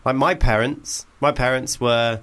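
Man, 30s, host, playful teasing, mid pitch. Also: a little slow, clear, resonant tone. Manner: playful, mock-excited. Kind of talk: comic banter.

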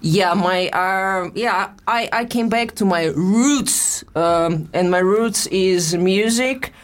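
A young adult woman from Russia with a Russian accent, talking in a casual interview in halting, broken English with frequent restarts. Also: medium pitch. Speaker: guest, boxer and musician.

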